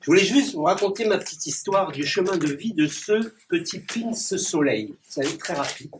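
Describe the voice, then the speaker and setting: A man with a high voice tells a little story and then recites rhyming comic verse, clearly enjoying his own wordplay. He is picked up from a distance, with some background noise in the room. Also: animated, normal speed. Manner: playful wordplay, chuckles at his own puns. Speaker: clown and poet. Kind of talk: reciting comic rhyming poems to a small gathering.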